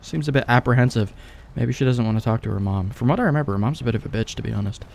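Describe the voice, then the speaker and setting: A man's voice: mid-range voice, racing, creaky, a little mellow, slight background noise. A young man giving rambling, laid-back, sweary let's play commentary as he games. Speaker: gaming YouTuber, let's play host.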